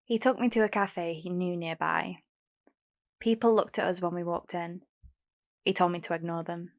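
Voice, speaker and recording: Casting: actress as young homeless singer, guarded yet hopeful, slightly low voice, candid audio drama delivery. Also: female voice, steady tone, normal speed, close to an everyday voice. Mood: reflective, candid. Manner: plain-spoken, candid, short replies. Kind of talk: first-person audio drama narration and dialogue.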